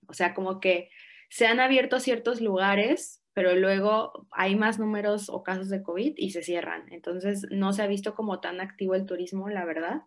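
A young woman with a Mexican accent talks through her experiences in a relaxed, reflective way. Her voice sits at an ordinary middle pitch, and she leans on small fillers as she thinks aloud. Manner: relaxed, reflective, frequent fillers like "o sea" and "como". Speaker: interview guest. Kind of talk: casual interview answers.

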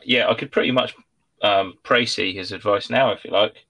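Man, British accent, lower pitch, bright forceful tone, casual comic podcast banter, swearing, jokey storytelling. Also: fairly even intonation, normal speed. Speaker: podcast co-host.

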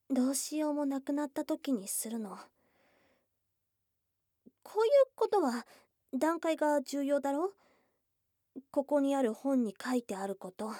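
A woman's voice: high-pitched, darkish tone, dry recording, clean studio sound. A woman voicing a shy, blunt young goblin girl in love, in an intimate ASMR voice drama with tomboyish, affectionate speech. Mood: teasing, earnest. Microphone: close dry studio mic.

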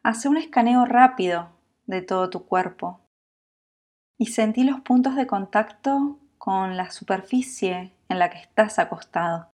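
A woman with a Latin American Spanish accent guiding a sleep meditation in a calm, soothing, unhurried manner, leaving long pauses. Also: mid-range voice. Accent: Latin American Spanish with voseo. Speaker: yoga nidra meditation guide.

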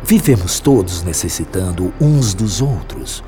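A wise male spirit mentor in a radio drama. His lowish voice moves expressively as he teaches with formal, fatherly compassion. Music plays clearly behind his measured phrases. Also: animated, dark tone. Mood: compassionate. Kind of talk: radio drama acting, solemn discourse.

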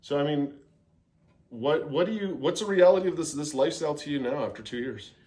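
Man, young adult co-host, laid-back and conversational, quick to agree. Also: on the high side. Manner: relaxed, agreeable, frequent short backchannels. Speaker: podcast co-host. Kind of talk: casual chat.

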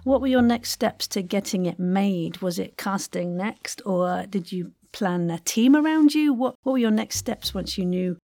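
A woman with a medium-pitched voice, interviewing casually as a podcast host. She is effusive and encouraging, punctuating the conversation with approval. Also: animated, normal speed, few pauses, dark tone, no room sound.

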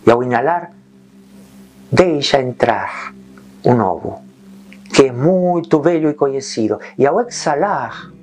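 A man leading a guided meditation in calm, gentle short phrases with long pauses. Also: medium pitch.

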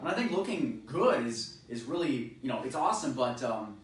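A man hosts a casual podcast chat in a relaxed, agreeable way, pausing on "um" and "uh". His voice sits at a middle pitch. The sound comes from a distant mic in a very echoey room, with faint noise behind. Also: soft background music. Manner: relaxed, agreeable, hesitant with fillers. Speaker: podcast host.

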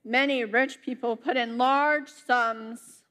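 A young woman, a summer intern, reading scripture and preaching a sermon in a church, with a slow, careful delivery. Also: on the high side, steady tone, a bit bright. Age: young adult. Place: church sanctuary.

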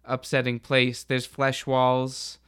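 A man's voice: mid-range voice, completely flat delivery, slow, fairly bright tone, clean tone. A man chatting casually as a podcast panelist, explaining and retelling in an informal way.

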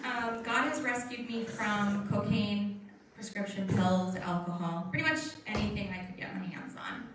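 A woman's voice: medium pitch, normal speed, hardly any pauses. Candid woman in her thirties, echoey. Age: 30s. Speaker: program resident giving testimony. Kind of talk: church testimony. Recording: distant mic, heavy room echo, faint noise.

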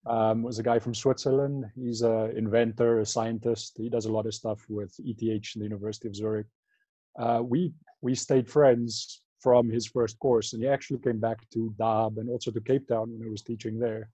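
A man in his thirties from South Africa tells his story in a relaxed, rambling way. His voice stays flat and mellow, with little pitch movement. The room sounds dry and close. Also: a little fast, breathy edge, dry recording, rough recording. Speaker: guest, freediving instructor. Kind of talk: casual interview.

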